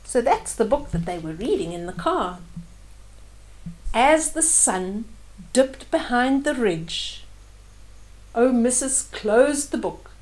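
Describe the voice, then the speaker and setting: An older woman reads a children's story at a very unhurried pace. Her voice sits a little high, and she pauses to explain the pictures gently and simply to young listeners. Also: animated, low-quality recording. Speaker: storyteller reading children's books aloud. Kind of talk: children's bedtime story read-aloud with asides about pictures.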